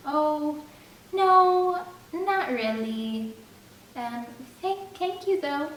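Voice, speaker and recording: A woman voicing a sweet, earnest, devout teenage girl who talks in a bright, polite way. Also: high voice, animated, extremely slow, voice pushed up, heavily acted. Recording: echoey room, distant mic, faint air-conditioner hum.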